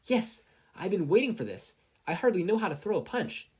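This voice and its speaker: Narrator doing an excitable teenage hero boy. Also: mid-range voice, brisk, slightly rough, pitched above the performer's own voice. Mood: excited.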